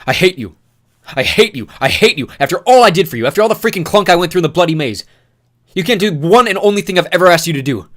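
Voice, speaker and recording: A man's voice: mid-range voice, quick pace, long pauses, close to an everyday voice. A man voicing a furious young man sliding into madness, ranting and screaming bitter accusations. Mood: raging. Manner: screaming, ranting, spitting rage.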